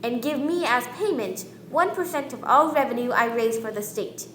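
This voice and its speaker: A woman's voice: fairly high pitch, expressive, normal speed, fairly bright tone, slight background noise. A young woman in an amateur radio play, acting as a boastful, commanding Yankee man who poses as a magician. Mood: smug, bargaining. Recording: echoey room.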